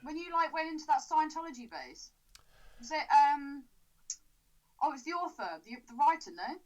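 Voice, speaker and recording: Female podcast co-host, high-pitched and animated, blunt, unpolished conversational delivery. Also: normal speed, lo-fi sound. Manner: informal, blunt, sweary. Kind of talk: casual chat.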